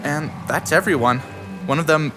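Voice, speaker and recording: A man's voice: fairly high pitch, moderate pace, sharp tone, a little hiss or hum. An actor playing an anxious, earnest young male student in an audio drama, talking hesitantly with stammers. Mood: confiding. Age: young adult. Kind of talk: audio drama narration and dialogue. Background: music.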